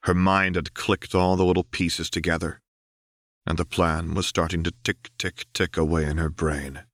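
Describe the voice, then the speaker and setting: A man's voice: sits low, little pitch movement, moderate pace, a bit bright, dry recording, studio quality. A man reading a fiction audiobook as narrator, in dramatic scripted narration.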